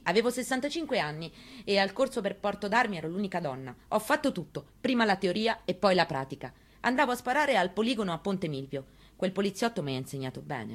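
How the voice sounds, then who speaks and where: An actress in a radio stage reading, playing an elderly grieving mother in a quiet, reflective monologue. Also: on the low side. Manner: quiet, reflective, conversational storytelling.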